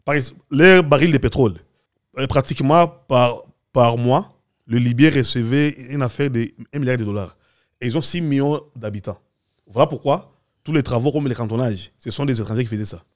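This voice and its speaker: A man's voice: medium pitch, fairly quick. A man speaking as a guest in an opinionated political discussion, assertively explaining with examples.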